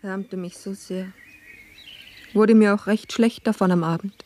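Woman acting sorrowful housemaid, dutiful and put-upon, mellow dark tone, plaintive, formal old-fashioned phrasing, radio play. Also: mid-range voice, steady tone, a little slow, close to an everyday voice. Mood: aggrieved.

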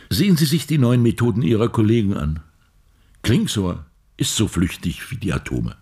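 Ailing old scientist, radio play, very slow, widely swinging emphasis, breathy, grave. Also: male voice, sits low, exaggerated voice. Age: older. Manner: halting, emphatic, oracular. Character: grave, ailing old male physics professor. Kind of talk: German radio play acting.

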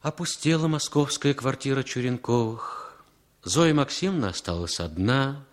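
An actor as a solemn, declamatory narrator in a 1960s radio drama, putting rhetorical questions. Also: male voice, mid-range voice, lively intonation, moderate pace, close to an everyday voice. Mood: grave. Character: solemn, dramatic narrator of a Cold War story. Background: music.

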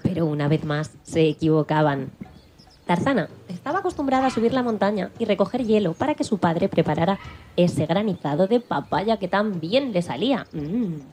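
A woman's voice: fairly low pitch, lively intonation, normal speed. A woman narrating a dramatised children's story as the storyteller, with faint noise on the recording.

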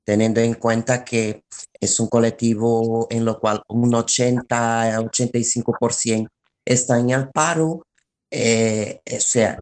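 An interview guest explaining a collective's work in halting phrases with repetitions. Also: mid-range voice, darkish tone. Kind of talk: spoken radio interview, explaining.

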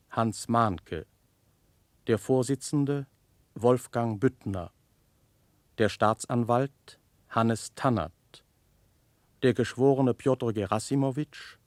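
A male radio announcer reads in a formal 1960s broadcast manner. His pace is slow and measured, in a very dry studio sound. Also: mid-range voice, a little mellow, no room sound.

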